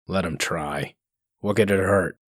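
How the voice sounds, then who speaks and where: A man playing a gruff, cold, commanding wolf sheriff in human form, curt and threatening, in a comic dub. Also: deep voice, a little slow, fairly bright tone, no room sound, clean studio sound. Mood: impatient, curt. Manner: curt, threatening, coolly controlled.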